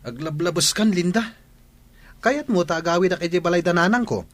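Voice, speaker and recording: An actor with an Ilocano Filipino accent playing a stern husband and dutiful son in a comedy radio drama. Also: male voice, high voice, moderate pace, fairly bright tone. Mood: scolding.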